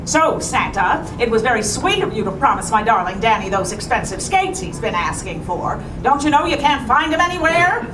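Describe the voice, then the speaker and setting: A high, swooping voice from an actress echoes through a theater with music behind. She is a fussy, doting mother in a puppet show, theatrical and easily baffled. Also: big pitch swings, normal speed, hardly any pauses, distant mic, background noise. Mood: indignant.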